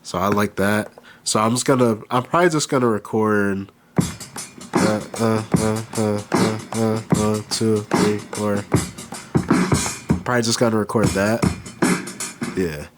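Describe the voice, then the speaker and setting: A man with a cold and a nasal voice, casually talking through a hands-on beatmaking tutorial, halting as he works. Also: on the low side, loud music behind the voice. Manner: relaxed, halting, thinks aloud while working. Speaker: beatmaker demonstrating gear. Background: beat playing behind.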